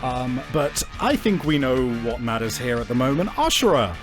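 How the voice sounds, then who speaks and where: Medium-pitched man running a tabletop game. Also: big pitch swings, normal speed. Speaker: game master. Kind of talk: improvised tabletop game narration and rulings. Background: faint music.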